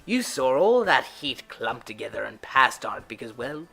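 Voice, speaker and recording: A woman playing a sarcastic, sinister male clown villain in an audio drama, with a creaky voice and mocking, drawn-out delivery that swings from murmurs to shouts. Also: rumbling low, sing-song, faint music behind, full character voice. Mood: gleeful scorn.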